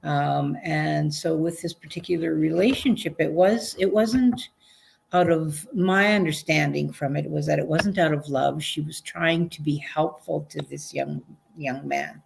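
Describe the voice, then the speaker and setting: A woman guest on an online panel, recounting things conversationally in a hesitant, halting manner. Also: low voice, vocal fry, husky. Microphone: video call.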